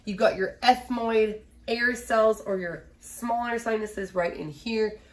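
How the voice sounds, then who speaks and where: A woman's voice: fairly high pitch, takes it slowly. Chatty woman teaching, slightly nasal. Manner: chatty, asks "right?" often, fillers. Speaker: biology instructor. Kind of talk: casual recorded lecture. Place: small home office, indoors.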